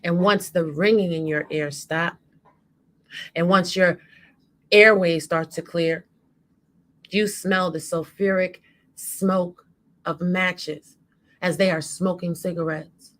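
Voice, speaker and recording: A woman speaks in a slightly low voice at a very unhurried pace. She delivers an impassioned monologue in a spoken-word style, weighting her phrases with dramatic, deliberate emphasis. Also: steady tone.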